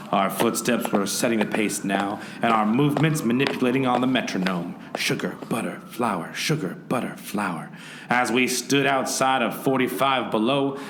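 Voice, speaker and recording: Guy doing a noir radio detective, broody and theatrical, lively swooping pitch, echoey room. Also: background noise, natural voice. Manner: melodramatic, wry. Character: hard-boiled male private eye, theatrically brooding. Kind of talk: 1940s noir radio play narration and dialogue. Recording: roomy echo, faint music bed. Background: faint music.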